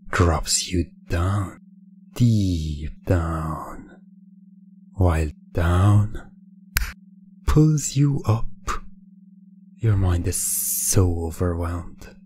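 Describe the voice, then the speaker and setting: Man, hypnotist, very unhurried delivery, dark mellow tone, breathy, teasing and commanding. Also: on the low side, a little hiss or hum. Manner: slow, deliberate, commanding, teasing. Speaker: hypnosis audio performer. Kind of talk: hypnosis ASMR, teasing patter.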